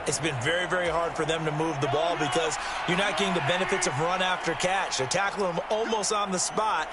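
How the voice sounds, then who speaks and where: A fairly high male voice with an American accent over loud noise. An actor plays an upbeat football booth analyst in a video game, explaining things conversationally. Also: a little fast, close to an everyday voice. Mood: explanatory, upbeat. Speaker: color commentator, analyst.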